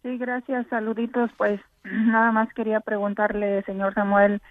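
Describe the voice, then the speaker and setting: A woman's voice: mid-range voice, little pitch movement, moderate pace, dry recording. Polite woman caller on a phone line. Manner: polite, formal greetings. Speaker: radio caller.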